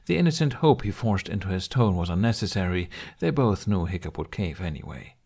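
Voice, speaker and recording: Low-pitched male audiobook narrator. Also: very animated, brisk, gravelly, a little mellow, husky. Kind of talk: scripted story narration.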